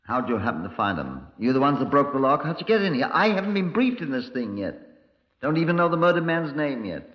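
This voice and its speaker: An actor playing a brusque, sardonic Scotland Yard chief inspector in a 1950s radio crime drama. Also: male voice, mid-range voice, sing-song, fairly quick. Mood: brusque, impatient. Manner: brusque, dry, sarcastic. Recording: dull, lo-fi 1950s radio recording.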